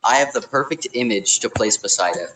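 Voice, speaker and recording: A man's voice: medium pitch, expressive, brisk, sharp tone, slight background noise, rough recording. A young man co-hosting a podcast, explaining things in a matter-of-fact, conversational way. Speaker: podcast co-host. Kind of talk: casual chat, explaining.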